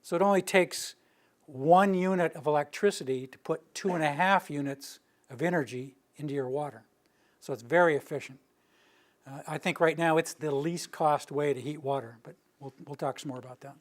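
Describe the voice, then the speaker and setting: An older man from the Rocky Mountain region gives an informal presentation to a roomful of listeners. His delivery is steady and close to monotone, and his voice sits slightly higher than most men's. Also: moderate pace. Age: older, near retirement. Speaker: utility planning director giving a public presentation. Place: meeting room with a live audience.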